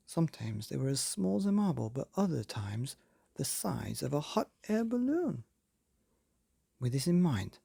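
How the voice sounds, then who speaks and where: A man hosting and reading a children's bedtime story, with theatrical, dramatic emphasis and drawn-out words. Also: high voice, gravelly, warm tone, slightly rough, no room sound, clean studio sound. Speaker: podcast host and story reader.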